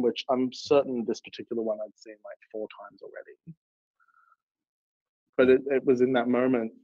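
A man's voice: medium pitch, dry recording. Earnest young Australian man, dry lo-fi. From Melbourne, Australia. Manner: earnest, reflective, with frequent fillers. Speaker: guest, drag performer. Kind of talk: casual podcast interview.

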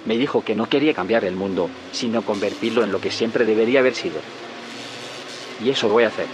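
An actor playing a determined, secretive inventor in an audio drama. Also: male voice, fairly low pitch, fast, noisy background, close to an everyday voice. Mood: calm resolve. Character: male inventor of a time machine, determined, secretive.

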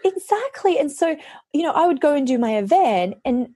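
A woman author, hoarse from losing her voice, chatting casually on a podcast and telling lively stories, often quoting herself. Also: high-pitched, normal speed, dry recording. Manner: animated storytelling, quotes herself.

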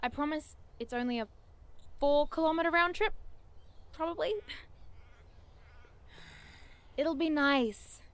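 A woman's voice: high voice, moderate pace, a bit bright. A woman playing an eager, curious young adventurer in an audio drama, chirpy, precise and wordy as she persuades. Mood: cheerful, coaxing. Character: eager, curious young woman, nerdy cryptid-chasing road-tripper. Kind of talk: audio drama acting, naturalistic banter.

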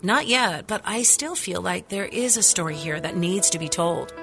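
A woman's voice: on the low side, lively intonation, moderate pace, music in the background. An actress playing a determined, caring and persistent female news reporter in a radio drama. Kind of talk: scripted radio drama acting.

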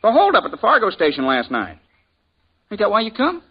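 An actor playing a jovial, talkative frontier blacksmith with a folksy drawl in a 1950s radio drama. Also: male voice, high voice, wildly swooping pitch, fairly quick, slightly rough, exaggerated voice. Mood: curious. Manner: folksy drawl, chuckling, nosy. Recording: dull old radio broadcast.

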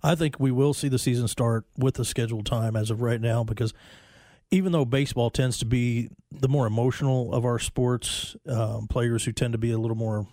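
A man's voice: mid-range voice, fairly even intonation, brisk, warm tone, no echo, studio quality. A man co-hosting a casual sports talk show, talking eagerly and rambling through his points. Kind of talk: casual sports radio chat.

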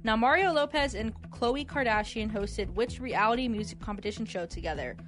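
A woman's voice: fairly high pitch, a little fast. A woman presenting a quiz on a radio show, reading out questions with light banter. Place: radio studio. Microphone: close studio microphone. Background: music bed.

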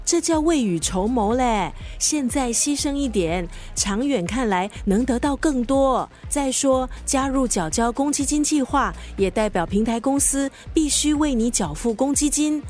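A breezy woman with a somewhat high voice. She is blunt and playful, with theatrical radio-drama delivery. Faint music runs behind her. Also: animated, unhurried, few pauses, a little mellow. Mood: advising. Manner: theatrical, direct. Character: blunt, breezy, playful woman friend who jokes grandly.

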